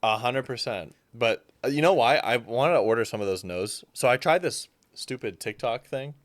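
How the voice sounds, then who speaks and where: A man's voice: mid-range voice, moderate pace, no room sound. A young man, a fitness coach guest, chatting casually and animatedly, with frequent fillers. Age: young adult. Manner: animated, fills speech with "like". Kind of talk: casual chat.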